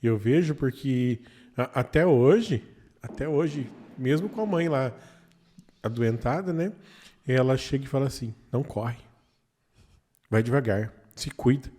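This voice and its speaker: Lively Brazilian host in his thirties. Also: medium pitch, unhurried, long pauses. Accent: Brazilian Portuguese. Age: 30s. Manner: jokey, laughs easily. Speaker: podcast host. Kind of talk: casual podcast interview.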